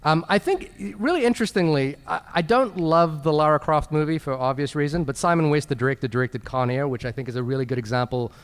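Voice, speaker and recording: A man speaking casually on a live film podcast panel, halting and repeating words as he thinks. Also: on the high side, clean tone. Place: large convention panel room. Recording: echoey hall PA, live recording.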